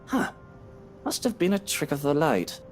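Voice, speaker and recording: A man's voice: fairly high pitch, wildly swooping pitch, normal speed, faint music behind, pitched above the performer's own voice. A man voicing a haughty, irritable rich master of the house in a pompous, exclamatory way for a story game. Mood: dismissive.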